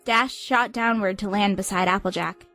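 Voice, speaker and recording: A woman's voice: medium pitch, fairly even intonation, normal speed, a bit bright, soft background music. A woman reading scripted, dramatic audiobook narration.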